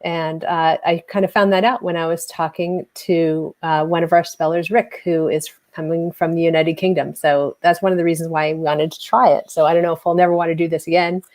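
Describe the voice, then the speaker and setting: A woman's voice: low-pitched, steady tone, fairly quick, hardly any pauses, a little mellow. A woman hosting an online spelling bee in a chatty, rambling way, laughing often, over a video call.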